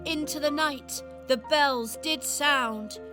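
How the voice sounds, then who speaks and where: A woman's voice: sits high, slow pace. A woman narrating a rhyming children's radio play as storyteller. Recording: music behind the voice.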